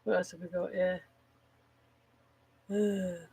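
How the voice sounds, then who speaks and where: Slow-talking, nasal woman. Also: lowish voice, flat delivery, warm tone, husky. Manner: giggles at her own jokes, swears casually, groans at bad puns. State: slightly nasal from a cold. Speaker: live streamer. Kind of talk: casual live-stream chat telling corny jokes.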